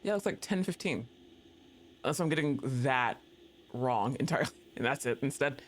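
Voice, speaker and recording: A man streaming a game live, reacting loudly, swearing, laughing often and talking to the game. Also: medium pitch, slight background noise. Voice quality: excitable, profane, quick to laugh, talks to the game. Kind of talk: live gameplay commentary.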